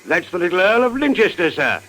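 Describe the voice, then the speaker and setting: An eager officer in a vintage radio drama. He is high-voiced and very animated, with brisk, officious politeness toward his betters. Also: exaggerated voice, low-quality recording. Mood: brisk, respectful. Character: eager, deferential male ship's officer. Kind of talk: old-time radio drama acting. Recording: old radio drama recording.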